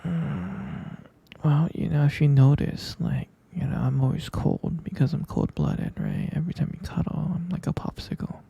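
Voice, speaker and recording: A man playing a gentle, sleepy vampire boyfriend in an intimate ASMR bedtime roleplay, murmuring tenderly and hesitantly in a breathy, gravelly half-whisper. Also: a little high, sing-song, normal speed, muffled recording, full character voice. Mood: patient, explaining.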